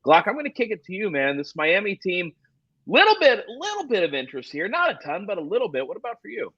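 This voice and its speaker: A man hosting a sports fantasy-betting show with upbeat, energetic delivery. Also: sits high, fast talker, slightly rough, dry recording. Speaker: podcast host. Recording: dull lo-fi sound.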